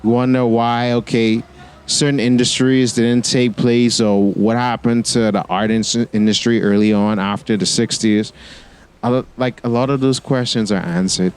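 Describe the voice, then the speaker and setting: A man with a Bahamian accent mixed with American, hosting a podcast and asking loose, relaxed questions. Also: mid-range voice, normal speed, slight background noise. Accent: Bahamian with American mix. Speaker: podcast host. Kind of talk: casual interview talk.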